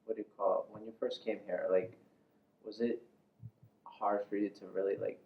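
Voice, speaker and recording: A man's voice: fairly low pitch, flat delivery, normal speed, creaky, far from the mic. A man interviewing a guest in a casual, rambling way full of filler phrases. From United States. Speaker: interviewer, host. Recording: echoey room, muffled, faint noise.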